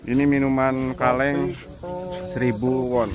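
A man's voice: mid-range voice, flat delivery, measured pace, a crowd in the background. A man from Indonesia chatting casually as a travel vlogger, guiding viewers along as he walks outdoors by the sea with a handheld phone. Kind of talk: casual walking vlog chat. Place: outdoors along a seaside walkway.

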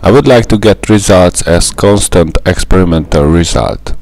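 A man's voice: sits low, normal speed, vocal fry, darkish tone, a little hiss or hum. A young man with a Hungarian accent, a native Hungarian speaker, narrating a gameplay tutorial in a careful, methodical way. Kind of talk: scripted gameplay voice-over.

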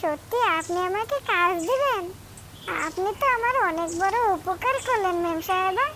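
Long-suffering poor mother and servant, female actor, squeaky-high, humble cartoon voice, noisy birdsong backdrop. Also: expressive, moderate pace. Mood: grateful. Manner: plaintive, deferential. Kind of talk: cartoon dialogue. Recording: noisy track, chirping birds behind.

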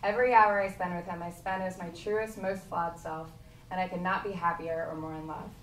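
A woman's voice: fairly low pitch, fairly even intonation, a little fast. A young woman reading a prepared personal speech aloud to a live audience. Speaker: book editor introducing the collection. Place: indoor room at a live party. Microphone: microphone on a lectern stand. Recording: echoey room, distant mic, audience noise. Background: audience noise.

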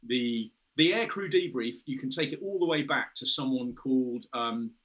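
A man's voice: mid-range voice, moderate pace, a bit bright, low-quality recording. A British man in his fifties on a video call, explaining at length as an interview guest, with frequent restarts and "you know". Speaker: guest, former fighter pilot. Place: room with a window and red curtains, indoors.

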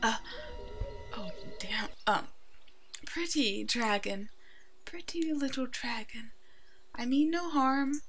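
A woman voicing a brave, protective werewolf in an ASMR roleplay, soothing and halting, with a soft, hushed delivery. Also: sits high, noisy background. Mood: nervous, cautious.

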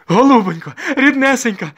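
Man as a wailing widowed peasant mother, very high. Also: animated, normal speed, a bit bright, exaggerated voice. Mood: desperate pleading. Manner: wailing, pleading. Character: desperate widowed peasant mother, pleading, humble.

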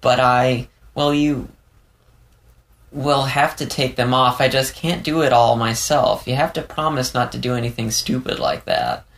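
A man's voice: medium pitch, fairly even intonation, unhurried, vocal fry, fairly bright tone, voice pushed up. A man voicing a playful, candid young male fox in an audiobook story, rambling with hesitant stammers. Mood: flustered.

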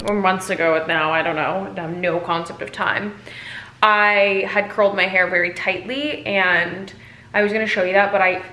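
A woman's voice: medium pitch, a bit bright, echoey room, a little hiss or hum. A young woman chatting casually to her handheld camera in a vlog, full of fillers and candid, a little tired. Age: young adult. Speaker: vlogger. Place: home bathroom, kitchen and car, indoors. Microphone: handheld camera at arm's length.

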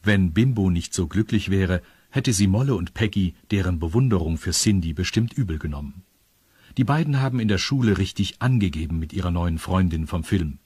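A man's voice: sits low, animated, a little fast, a little mellow, husky, no room sound. A man with a standard German accent narrating a scripted children's audio story.

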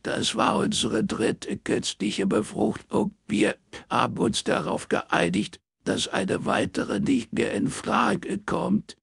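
A man voicing a distraught woman in an audiobook, crying and stammering haltingly through a phone call. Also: medium pitch, wildly swooping pitch, creaky, breathy edge, no room sound, studio quality, voice pushed up, exaggerated voice. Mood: sobbing, stammering.

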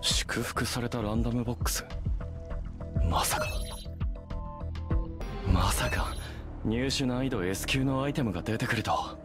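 A man's voice: medium pitch, unhurried, pauses often, close to an everyday voice. An actor playing a determined, self-reliant young male hunter in an anime game cutscene. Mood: wary resolve. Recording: loud music behind.

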